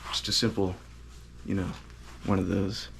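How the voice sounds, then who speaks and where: A man voicing a goofy male monster hunter in an indie horror game cutscene, slow and mock-dramatic, talking to his viewers. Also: low-pitched, little pitch movement, vocal fry, background noise. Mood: mock-spooky.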